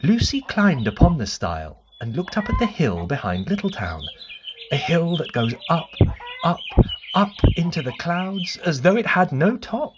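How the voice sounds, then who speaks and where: A woman's voice: fairly low pitch, sing-song, background noise. A woman storyteller narrating a children's bedtime tale expressively, drawing out repeated words for dramatic effect. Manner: deliberate, dramatic, drawn-out repetitions.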